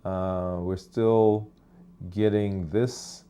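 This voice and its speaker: A man's voice: on the low side, steady tone, drawn-out words, dark tone, clean tone. A man, a physician, hosting a casual live stream from his office, chatting with fillers and restarts while he answers viewer comments.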